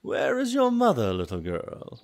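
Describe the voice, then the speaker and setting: A man's voice: mid-range voice, wildly swooping pitch, unhurried, gravelly, heavily acted. A man playing a sly, idly curious talking wolf in a fairy tale audio drama, with a lazy, probing manner. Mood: lazily prying.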